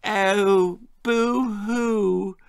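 A naughty, sickly little storybook boy, put on by a man, raised in pitch and very drawn out. He sounds stubborn and mocking. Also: steady tone, extremely slow, gravelly, clean tone, full character voice. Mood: mocking glee. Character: sickly, naughty, sullen boy who hates greens.

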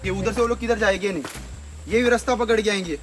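Young man, high voice, rapid, blunt and scolding, outdoor trek, music behind. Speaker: hiking companion. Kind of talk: casual chat on a trek. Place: outdoor forest trail. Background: background music.

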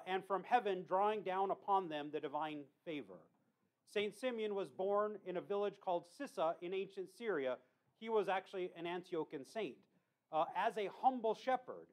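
A man, a deacon, delivering a homily in a small church, telling a saint's story earnestly with small asides and self-corrections. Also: high-pitched. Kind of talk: homily retelling a saint's life. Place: small church with icon wall, indoors.

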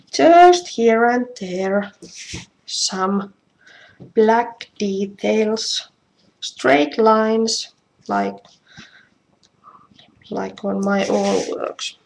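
A woman from Finland with a Finnish accent, giving a casual craft tutorial in halting, self-deprecating English with a creaky voice. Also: medium pitch. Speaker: craft demonstrator. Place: indoors at home. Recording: noisy live stream with clicks.

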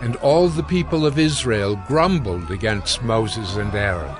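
A man narrating a dramatized audio Bible in a measured, solemn storytelling manner. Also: lowish voice, over music.

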